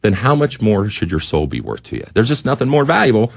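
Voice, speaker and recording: Male talk radio guest, rapid and preachy, emphatic rhetorical delivery, close dry studio sound. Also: fairly low pitch, very animated, gravelly, no room sound. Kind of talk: impassioned talk radio rant.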